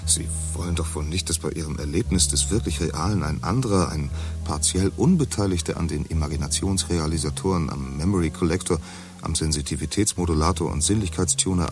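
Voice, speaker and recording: A man's voice: extremely low pitch, brisk, darkish tone, faint music behind. An actor playing a talkative, condescending man in a futuristic society who lectures his drinking companion. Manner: talkative, condescending, full of jargon. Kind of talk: radio drama acting.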